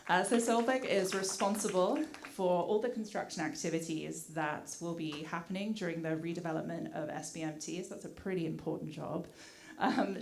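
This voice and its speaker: Woman, British-tinged accent, lowish voice, community affairs director at a podium mic, polite and scripted. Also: slight background noise. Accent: British with some American mix. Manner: polite, thankful, with frequent "uh" fillers. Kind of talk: prepared public remarks at a community meeting. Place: community meeting hall.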